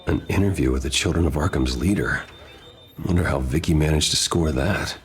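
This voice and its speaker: An actor playing a serious, calm young man of wealth who is secretly a vigilante, speaking in a measured way. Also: very deep voice, slight background noise. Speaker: game character.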